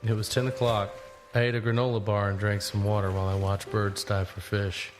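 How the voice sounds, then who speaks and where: An actor playing a young long-distance traveler, narrating in the first person in an understated, introspective way for an audio drama. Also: male voice, lowish voice, flat delivery, brisk, lightly acted. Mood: reflective. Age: young adult. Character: reflective young long-distance walker telling his journey. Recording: prominent music bed under the voice.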